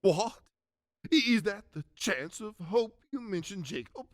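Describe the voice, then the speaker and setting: A man's voice: high-pitched, expressive, measured pace, voice pushed up. A man playing a frightened, stubborn old miser in a radio drama, stammering and flustered. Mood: nervous, pleading.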